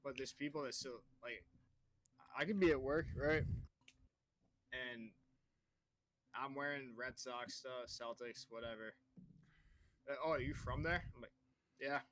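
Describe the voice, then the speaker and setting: A man's voice: medium pitch, a little slow, pauses often, fairly bright tone. A young man from Massachusetts co-hosting a podcast, telling easygoing stories and acting out bits of dialogue. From Massachusetts, USA. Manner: easygoing storyteller, acts out dialogue. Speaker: podcast co-host. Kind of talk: casual chat. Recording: slightly tinny mic with faint noise.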